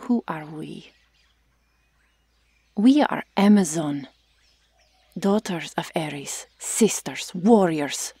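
Stern, commanding Amazon sentry, played by a woman: breathy, unhurried, formal delivery for ASMR roleplay. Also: sits low, lively intonation, dark tone, no room sound, heavily acted. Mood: stern, threatening. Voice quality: breathy, often whispering. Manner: formal, solemn, deliberate phrasing. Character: stern, commanding Amazon warrior woman guarding sacred jungle territory.

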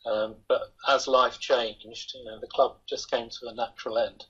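A man's voice: medium pitch, flat delivery, moderate pace, a bit bright, husky. An older man chatting as an interview guest over a video call from his home, in a rambling, self-deprecating way. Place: home room, indoors. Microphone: laptop webcam on a video call. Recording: dull lo-fi video call audio.

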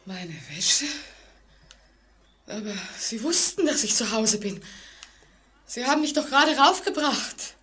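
Husky, frightened, haunted woman. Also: a little high, lively intonation, a bit bright, full character voice. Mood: frightened, threatening. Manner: halting, suspenseful dramatic delivery. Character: frightened, haunted woman living alone, anxious and distrustful. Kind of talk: 1949 German radio play acting. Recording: vintage 1940s radio recording.

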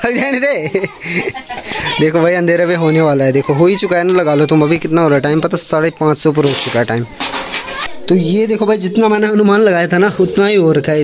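A man's voice: on the high side, darkish tone, lo-fi sound, a little hiss or hum. Chatty young village vlogger man. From Uttarakhand hills, India. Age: young adult. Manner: chatty, keeps calling viewers brother. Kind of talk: casual vlog talk to camera. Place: village house and yard, indoors and outdoors. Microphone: phone camera held at arm's length.

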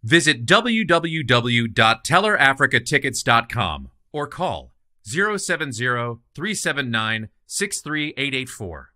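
A man's voice: medium pitch, sing-song, measured pace, sharp tone. A man reading a scripted advertising voice-over as an announcer.

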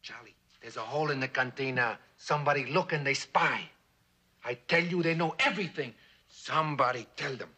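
An actor playing a boastful, menacing whiskey smuggler, speaking emphatic broken English in a television crime drama. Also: male voice, mid-range voice, fairly quick, bright, forceful tone, husky. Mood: urgent, wary. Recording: noisy old TV soundtrack.

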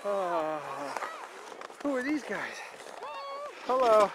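A man's voice: high-pitched, very animated, very slow. A man in his forties, a father filming his family at a small snowy ski hill, talking in a chatty, upbeat way and coaching a child along. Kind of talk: casual home video commentary. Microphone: handheld camcorder at arm's length. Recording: noisy outdoor camcorder audio.

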